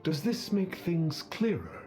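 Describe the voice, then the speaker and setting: A grandiose, self-styled immortal adept from a fantasy game. He draws out archaic, lofty phrases slowly, with sweeping swings in pitch and a slightly high male voice. Faint music plays behind him. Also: sing-song. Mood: lofty, self-important. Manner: pompous, archaic, grandiloquent speech. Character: pompous masked man posing as a lofty celestial adept. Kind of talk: theatrical video game dialogue.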